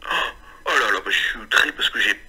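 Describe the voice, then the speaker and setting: A man's voice: lowish voice, quick pace, bright, forceful tone, husky. A young man making a prank phone call, rambling and stammering through confused nonsense in a sham apologetic way. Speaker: prank caller.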